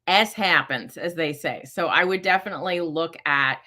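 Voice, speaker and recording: Bright-voiced chatty woman host. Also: fairly low pitch, normal speed. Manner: chatty, many fillers and self-corrections. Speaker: host answering listener questions. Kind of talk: casual live Q&A.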